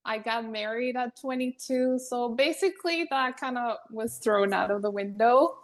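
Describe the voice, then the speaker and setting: A woman's voice: on the high side, moderate pace. Young woman, from Venezuela, podcast guest, chatty, laughs easily, casual talk. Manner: chatty, laughs often, restarts sentences. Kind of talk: casual interview conversation.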